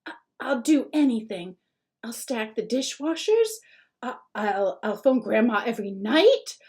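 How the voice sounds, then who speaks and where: A woman voicing a panicking teenage boy who pleads in a high-pitched voice. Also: very animated, measured pace, darkish tone. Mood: pleading panic.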